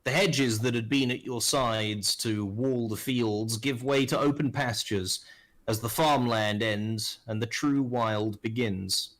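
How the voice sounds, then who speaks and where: A man's voice: medium pitch, steady tone, slow pace, a bit bright. A man in his thirties narrating a live online tabletop game as game master. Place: indoors at home, on webcam.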